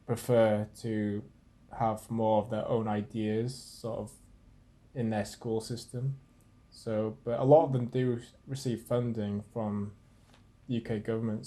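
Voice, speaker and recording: A young man from Wales, a podcast guest, explaining things in a relaxed, casual chat. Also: fairly low pitch.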